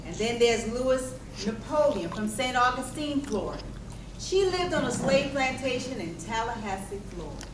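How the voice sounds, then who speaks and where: A woman's voice: a little high, very animated, moderate pace. A woman reading scripted narration for a live stage presentation, picked up from a distance in an echoey chapel. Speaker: readers theater narrator. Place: indoor chapel, live performance. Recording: echoey room, distant mic, clear background noise.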